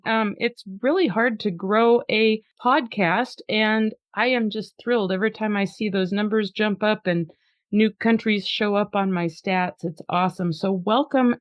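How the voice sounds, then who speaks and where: A woman's voice: on the high side, dry recording. Chatty woman podcast host, dry lo-fi sound. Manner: chatty, upbeat, laughs easily. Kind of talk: casual podcast chat and dramatic poem reading.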